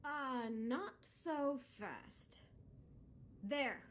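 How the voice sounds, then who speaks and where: A woman's voice: high voice, lively intonation, very slow, slight background noise, full character voice. An actress voicing a devoted, eager and menacing young female Sith apprentice in a video game. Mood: sinister, savoring.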